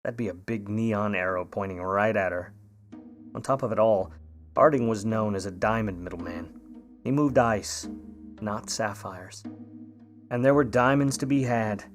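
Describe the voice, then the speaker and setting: A man's voice: fairly low pitch, unhurried, soft background music, lightly acted. A man playing a grudging, wisecracking private eye, needling and slangy, in a noir radio play. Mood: musing.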